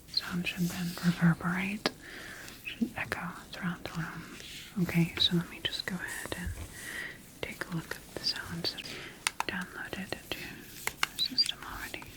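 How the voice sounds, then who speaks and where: A woman voicing a methodical scientist in an ASMR sci-fi medical roleplay, speaking in a measured, formal and gently instructing way. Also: fairly low pitch, lightly acted, rough recording. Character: clinical, methodical female scientist examining her bioengineered monster creation.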